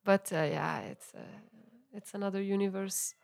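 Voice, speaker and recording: A young woman whose first language is Italian, a musician chatting in an interview with many fillers and soft laughs. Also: medium pitch, normal speed, vocal fry. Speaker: musician guest. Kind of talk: casual interview chat.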